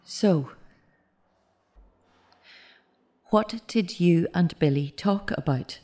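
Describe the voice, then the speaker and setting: A woman's voice: fairly low pitch, a little slow, warm tone, lightly acted. An actress playing a grieving middle-aged mother, searching and earnest, questioning insistently in a naturalistic audio drama. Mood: strained, civil. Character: grieving, searching mother of a dead teenage son.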